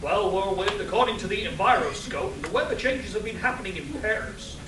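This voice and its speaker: An earnest superhero of a comic radio play acted by a man, high-pitched and pompous, preaching about his mission with melodrama. The recording is roomy and distant, with clear background noise. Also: lively intonation, fairly quick. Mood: stern, pompous. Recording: roomy, distant live stage capture, noisy.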